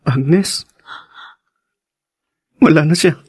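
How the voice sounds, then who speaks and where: An actor playing a worried, devoted young husband in a radio drama, earnest and concerned. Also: male voice, a little high, very slow, slightly rough, full character voice. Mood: sorrowful, urgent. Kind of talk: Tagalog radio drama acting.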